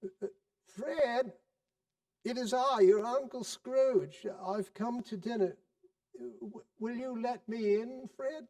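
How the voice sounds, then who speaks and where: A man voicing an overjoyed, reformed old miser in a theatrical storybook reading, eager, exclamatory and halting with glee. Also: very high-pitched, darkish tone, voice pushed up, full character voice. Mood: nervous, joyful. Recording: distant microphone.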